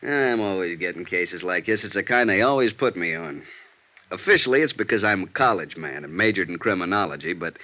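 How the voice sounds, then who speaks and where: A low, forceful, dogged police sergeant. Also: male voice, expressive, a little fast, heavily acted. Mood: musing, dogged. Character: dogged, hunch-driven Mexican-American male police sergeant. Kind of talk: 1950s American radio detective drama. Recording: dull vintage radio recording.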